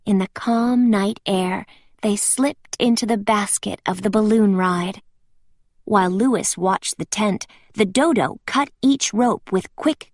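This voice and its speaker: A woman reading a children's storybook aloud with expressive, deliberate phrasing. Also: mid-range voice, no echo, studio quality.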